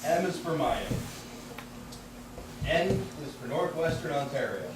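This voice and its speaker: A man's voice: on the high side, animated, a little slow. A man playing an earnest puppet host in a scripted children's show, teaching town history and putting questions to the kids. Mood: reciting. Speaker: puppeteer, presenter. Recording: very echoey, distant, noisy room.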